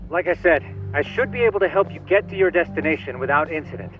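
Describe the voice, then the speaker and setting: A man's voice: a little high, quick pace, highs cut off, faint music behind, lightly acted. An actor playing a chatty, eager young male hacker in a video game, speaking in a formal, theatrical, wordy way. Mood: helpful, composed. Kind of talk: English video game voice acting.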